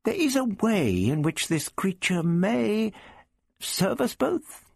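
Fussy old doctor man for an audiobook, proud n snappy, high husky voice that swoops around. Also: huge pitch swings, a little slow, no room sound, studio quality, heavily acted. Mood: musing, gracious. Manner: sniffy, interjecting "hmm?" and "eh?". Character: proud, testy, pompous old male doctor.

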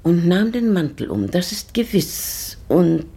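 An actress playing a pious widowed lady in a German radio play, brooding and searching her memory with halting, formal diction and a breathy voice. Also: fairly low pitch, big pitch swings, mellow, full character voice. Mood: searching, anguished.

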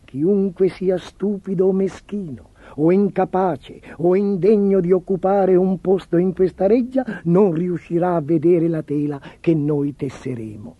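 A man's voice: high voice, a little slow, few pauses, no echo, phone-quality sound. A man voicing a sly swindler posing as a royal tailor, obsequious, self-assured and persuasive. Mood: mysterious. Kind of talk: storybook character voice.

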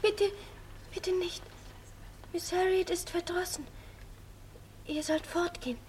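Eerie, very high-voiced little girl medium. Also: fairly even intonation, takes it slowly, a little mellow, slight background noise. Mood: eerie whispering. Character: eerie young girl medium possessed by a ghost. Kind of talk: German radio play acting.

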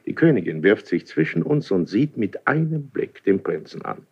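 A proud court duke in a 1970s German radio play. His low, gravelly voice is clipped and dramatic, heard through a slightly muffled old recording. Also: sing-song, moderate pace, heavily acted. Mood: grave, urgent. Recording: dull vintage radio recording.

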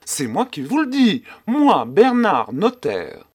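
Casting: pompous, easily panicked notary for an audiobook; highish voice, slow, melodramatic delivery. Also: male voice, very animated, full character voice. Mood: solemn, pompous. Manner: theatrical, exclamatory, solemn and emphatic. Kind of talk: dramatised literary audiobook acting.

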